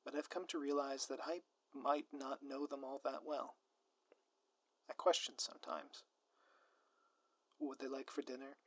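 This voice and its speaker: A man's voice: mid-range voice, level tone, a little slow, long pauses, dry recording. Theatrical villain played by a man, close and dry. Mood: tender, hesitant. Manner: grandiloquent, theatrical, florid formal wording. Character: theatrical male supervillain, scheming, secretly lovestruck doting spouse. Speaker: audio roleplay performer. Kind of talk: scripted ASMR roleplay, direct address to listener.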